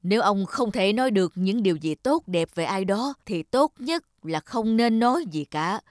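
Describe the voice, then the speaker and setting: A woman voicing a stern, wise male magistrate in a cartoon folk tale, speaking in a measured, lecturing way. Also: medium pitch, expressive, a little mellow, dry recording, clean studio sound, lightly acted. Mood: grave lecturing.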